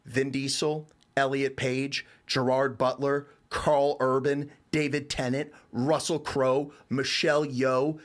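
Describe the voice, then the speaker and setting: A man's voice: medium pitch, little pitch movement, slow pace, few pauses. A man giving a casual, conversational review as a show's reviewer, rambling informally. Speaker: reviewer on a show.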